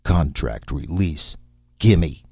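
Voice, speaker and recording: A man voicing a thick-tongued playwright turned savage caveman, halting and grunting out few words. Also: rumbling low, wildly swooping pitch, vocal fry, slightly rough, no room sound, dull audio, full character voice. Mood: halting effort.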